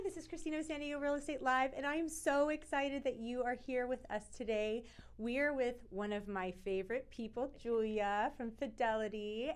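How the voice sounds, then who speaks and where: A woman's voice: fairly high pitch, clean tone. A woman hosting a real estate interview show, talking in an enthusiastic, effusive way.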